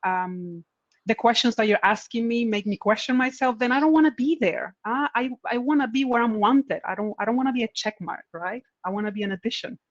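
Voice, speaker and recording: A woman's voice: fairly high pitch, a little fast, no echo, muffled recording. A woman panelist giving career advice in a conference Q&A, earnest and encouraging, often repeating her key points. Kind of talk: conference Q&A answers.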